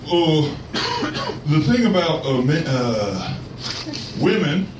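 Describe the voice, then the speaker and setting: Brief: man voices a crude, unraveling stand-up comic on a distant, echoey live-club recording with clear background noise. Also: on the high side, big pitch swings, slow pace, voice pushed up. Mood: rambling. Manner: rambling, crude, stumbling restarts. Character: unraveling male road comic, crude and rambling. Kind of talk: stand-up set in a radio play.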